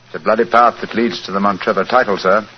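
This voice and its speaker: An actor playing a shrewd, composed English gentleman detective with a British accent, speaking formally and precisely in 1940s radio style. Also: lowish voice, steady tone, fast, close to an everyday voice. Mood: smooth, persuasive. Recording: muffled, hissy 1940s radio recording.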